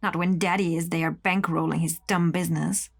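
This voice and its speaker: A woman's voice: on the low side, flat delivery, moderate pace, creaky. A woman playing a jaded, resentful young office worker in an audio horror drama. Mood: tender, bitter.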